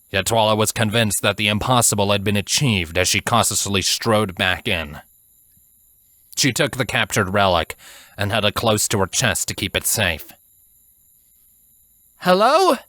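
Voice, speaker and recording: Low-voiced man narrating a story. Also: sharp tone, dry recording, studio quality. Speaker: fan fiction narrator.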